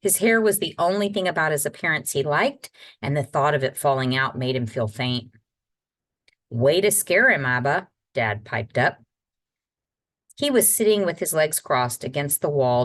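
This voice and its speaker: A woman reading a children's novel aloud as a guest storyteller, in scripted narration. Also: low voice. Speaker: guest reader.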